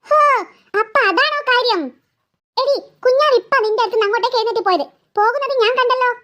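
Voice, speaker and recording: A woman acting a scolding, high-pitched cartoon mother. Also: fast talker, a bit bright. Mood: exasperated, sarcastic. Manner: scolding, sarcastic, impatient. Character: exasperated, scolding, sarcastic mother of two girls. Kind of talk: comic family skit acting.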